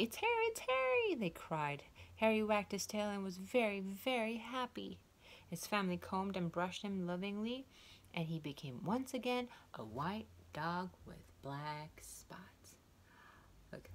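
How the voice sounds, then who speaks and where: A young woman reading a picture book aloud to small children in a playful, expressive storytelling style with little asides. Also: sits low, slight background noise. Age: young adult. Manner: playful, expressive, exclaiming and questioning. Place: indoors, wood-ceilinged room.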